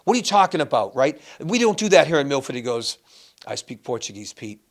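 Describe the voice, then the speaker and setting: A man from Massachusetts, a guest and former school athletics director, telling animated stories in a casual chat with many restarts. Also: medium pitch, brisk.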